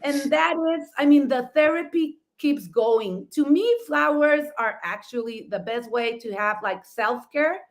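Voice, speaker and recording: A woman's voice: sits high, unhurried, few pauses. A middle-aged woman from Mexico with a Mexican accent, a native Spanish speaker, telling her story as a co-host, enthusiastic and laughing often, often rephrasing with "I mean". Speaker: florist and talk show co-host.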